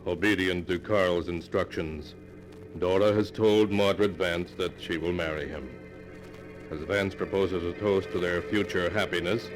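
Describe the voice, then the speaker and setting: Very deep, solemn radio storyteller. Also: male voice, fairly even intonation, rough recording. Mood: solemn, ominous. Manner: solemn, deliberate. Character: ominous storyteller reading from a sealed book. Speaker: radio show narrator. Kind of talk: 1940s radio scripted narration. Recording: old radio recording, loud music behind. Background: loud music.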